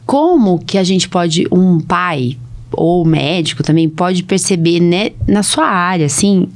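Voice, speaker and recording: A woman's voice: fairly low pitch, expressive, slow, darkish tone. A woman with a Brazilian Portuguese accent, a doctor hosting a podcast, chatting casually and often checking in with tag questions. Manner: informal, frequent tag questions. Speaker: podcast host, allergist doctor.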